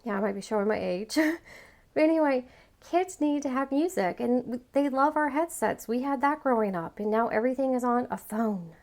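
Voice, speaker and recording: A woman's voice: sits high, moderate pace, darkish tone. A middle-aged woman giving a casual product review in a chatty, enthusiastic and emphatic way.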